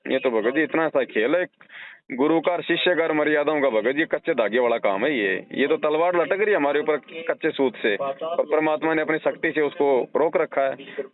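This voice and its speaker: A man giving earnest, explanatory religious advice to a devotee, using everyday analogies. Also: on the high side. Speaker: religious counsellor advising a devotee. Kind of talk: devotional advice on a phone call. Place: phone call. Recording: narrow, tinny phone-line recording.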